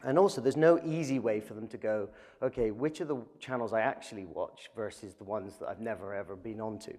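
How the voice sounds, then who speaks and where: A man's voice: fairly low pitch, a little fast, darkish tone, in a large hall. A man with an English accent presenting his startup at a live event, talking conversationally with many fillers. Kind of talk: business pitch presentation.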